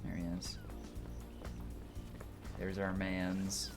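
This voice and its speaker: A man's voice: sits low, monotone, drawn-out words, gravelly, music in the background. A man hosting a livestream, giving casual commentary as he plays a game. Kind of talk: casual gameplay commentary, reading dialogue aloud.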